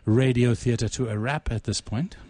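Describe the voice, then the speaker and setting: Guy poet hosting a radio show, bit theatrical, normal pitch, real dry sound. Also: normal speed, darkish tone, no room sound. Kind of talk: casual reminiscing and dramatic poetry recital.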